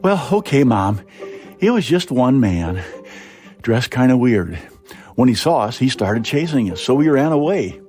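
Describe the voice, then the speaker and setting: A man voicing an excitable, frightened young child who stammers and tends to fib, in a short story reading. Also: medium pitch, unhurried, faint music behind, pitched above the performer's own voice. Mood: sheepish, unsure. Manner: stammering, breathless delivery.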